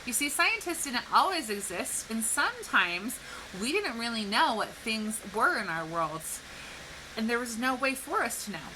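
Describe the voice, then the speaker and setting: A woman speaking like a teacher to young children, explaining things clearly and posing questions in an educational read-aloud. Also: a little high, very animated, moderate pace, noisy background. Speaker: teacher reading a picture book to children.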